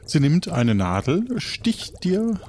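Male game master, higher-pitched and very lively, unhurried suspenseful narration over music. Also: a little hiss or hum. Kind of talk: narrating an audio role-playing game.

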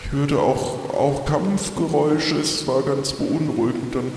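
A man voicing a timid, lonely ghost from a bottle in an improvised role-play, halting and plaintive, repeating words. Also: mid-range voice, vocal fry, darkish tone. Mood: anxious. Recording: echoey reverberant room with hiss.

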